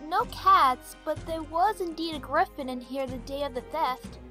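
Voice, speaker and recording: A polite, sincere shopkeeper pony voiced by a woman, high-pitched and very bright. She speaks with earnest courtesy, in the manner of an audio drama. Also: moderate pace, faint music behind. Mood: helpful, recalling.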